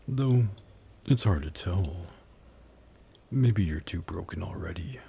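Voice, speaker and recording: A man playing a haughty, predatory demon in an ASMR roleplay, taunting and flirting in a condescending purr that dips into breathy whispers. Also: sits low, animated, takes it slowly, mellow, exaggerated voice. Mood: musing, menacing.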